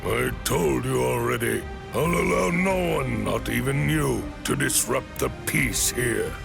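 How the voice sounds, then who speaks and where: A man voicing a stern, imposing old sword master in an anime game, with commanding, formal speech and a gravelly, creaky voice. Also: mid-range voice, a little slow. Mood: stern, impatient. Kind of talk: dramatic anime game voice acting. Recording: orchestral game music behind the voice.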